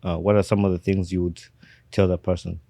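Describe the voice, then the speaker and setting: Male podcast host, low voice, animated and chatty, dry close mic. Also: fairly quick, creaky, no echo. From Uganda. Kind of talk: casual interview chat.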